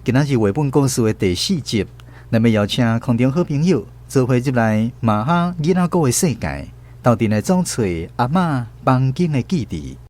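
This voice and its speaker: A man's voice: medium pitch, big pitch swings, moderate pace, darkish tone. Taiwanese man narrating a radio storybook. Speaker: radio host and drama narrator.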